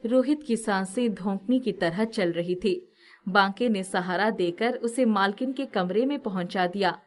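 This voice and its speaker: A woman narrates a dramatic story as a storyteller. Her voice sits at an average female pitch and follows a script. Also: measured pace.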